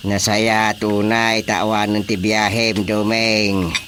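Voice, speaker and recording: A man acting an excitable, chatty village companion in a radio comedy drama, teasing and exclamatory, with an Ilocano Filipino accent. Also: lowish voice, slow pace.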